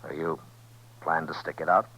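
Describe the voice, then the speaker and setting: A man playing a steady, courteous, laconic lawman in a 1950s radio Western. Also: a bass voice, brisk, slightly rough, full character voice. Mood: reserved. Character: steady, courteous, laconic US Marshal in the Old West. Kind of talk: 1950s radio Western acting and first-person narration. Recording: muffled 1950s radio recording.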